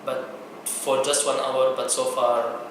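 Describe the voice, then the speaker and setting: A man with a mid-range voice talks plainly and haltingly. He is recorded in a very echoey room with clear background noise. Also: medium pitch, little pitch movement. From Syria.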